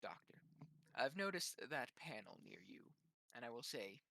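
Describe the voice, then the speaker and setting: A controlled, threatening soldier from a tabletop game, voiced by a man. He speaks quietly and with measured menace in a gravelly, dry-sounding voice. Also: sing-song, dry recording, full character voice, rough recording. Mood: quiet threat. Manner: low-volume, measured menace.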